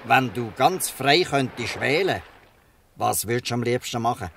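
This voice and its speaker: A man's voice: mid-range voice, lively intonation, normal speed, gravelly, a bit bright, slight background noise. An actor playing a fatherly, shrewd, bluff fisherman in a Swiss German radio play. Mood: kindly, persuasive. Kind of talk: Swiss dialect radio drama acting. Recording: slightly distant from the mic.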